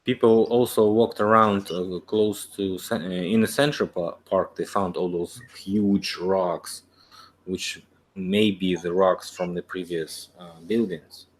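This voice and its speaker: Deep-voiced man, halting speech. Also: fairly even intonation, a little hiss or hum. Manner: halting, searches for words, stammers. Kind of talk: casual video-call chat.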